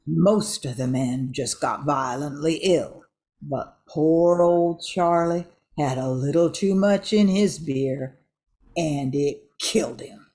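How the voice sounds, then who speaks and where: A woman's voice: low voice, slow pace, mellow. An older woman reading scripted narration for an amateur radio drama.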